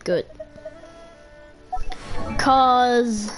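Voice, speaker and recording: High-voiced, playful woman gamer, music behind. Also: very slow. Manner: playful, chatty, slangy. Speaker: video game streamer. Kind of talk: casual gameplay commentary.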